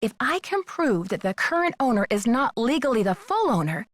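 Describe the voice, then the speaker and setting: High-voiced young American woman detective, earnest. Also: sing-song, moderate pace, no echo, studio quality. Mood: earnest, urgent. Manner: polite, clearly enunciated, earnest. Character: young female amateur detective, polite, earnest and determined. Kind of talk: video game voice acting.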